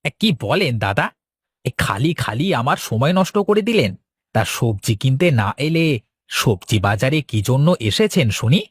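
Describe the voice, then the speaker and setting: পুরুষ কণ্ঠে প্রাণবন্ত, খসখসে গলার গ্রাম্য সবজি বিক্রেতা. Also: male voice, mid-range voice, sing-song, a little slow, husky, no echo, studio quality. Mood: flustered, complaining. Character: chatty, eager Bengali village vegetable seller and husband. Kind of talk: cartoon story dialogue.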